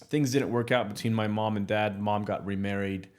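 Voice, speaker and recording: A man in his thirties from Morocco, speaking as a podcast guest in a low voice. He talks candidly and bluntly, restarting his sentences and leaning on fillers as he goes. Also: steady tone, moderate pace, a little mellow. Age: 30s. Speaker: guest entrepreneur. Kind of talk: casual podcast interview.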